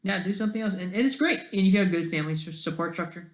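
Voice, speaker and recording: A person thinking aloud in a casual, digressive commentary over study notes, halting often and talking in an informal, self-deprecating way. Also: mid-range voice. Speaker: solo online commentator.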